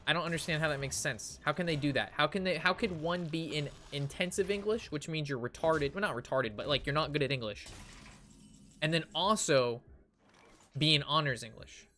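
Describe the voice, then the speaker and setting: A man's voice: a little high, fairly quick, pushed and bright. A young man telling ranting, casual stories to his stream chat, swearing freely and repeating himself with exasperation. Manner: profane, exasperated, repeats phrases. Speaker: game streamer. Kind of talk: casual ranting storytelling to stream chat during card game.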